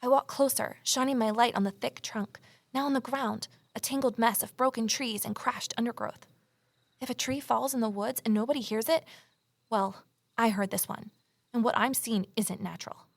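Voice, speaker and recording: A woman's voice: a little high, moderate pace, vocal fry, no echo. A woman playing a wry, uneasy young journalist who narrates a mystery audio drama in a confiding way. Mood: tense, alarmed. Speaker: lead character and narrator.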